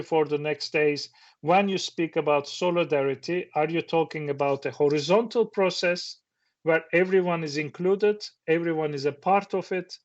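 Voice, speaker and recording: A middle-aged man whose first language is Turkish, giving a reflective conference talk that poses questions to the audience, with occasional restarts. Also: fairly high pitch. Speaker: conference speaker. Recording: dull lo-fi sound.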